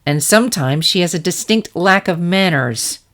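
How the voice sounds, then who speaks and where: A woman's voice: on the low side, animated, moderate pace, a bit bright. A woman voicing a proper, cordial head-maid pony, an elder sister with polite, practiced authority, in a story reading. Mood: apologetic. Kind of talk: fan fiction character reading.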